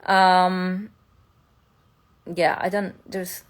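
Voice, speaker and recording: A woman's voice: on the low side, flat delivery, drawn-out words. A woman from Serbia, a spiritual teacher and content creator, talking loosely in a live stream with many fillers and restarts. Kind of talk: casual live-stream talk. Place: home in New York City.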